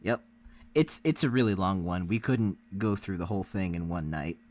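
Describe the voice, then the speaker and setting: A man's voice: low-pitched, moderate pace, darkish tone. A man co-hosting a reaction livestream, chatting casually with the viewers. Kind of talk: casual chat with stream viewers.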